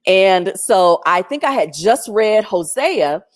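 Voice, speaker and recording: A woman telling a personal story in a chatty, animated way, speaking over a video call in a strong, loud voice. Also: mid-range voice, slow. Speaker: featured guest. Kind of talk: storytelling testimony.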